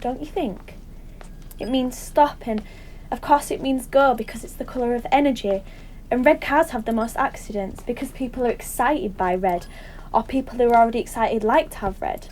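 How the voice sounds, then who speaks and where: A high-pitched, excitable woman , played by an actress, in an audio drama. She muses earnestly in tangled trains of thought, checking that her listener agrees. Faint noise sits behind her voice. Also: rough recording. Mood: excited, earnest. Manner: rambling, earnest, seeking agreement. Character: intense, excitable woman musing in tangled associations.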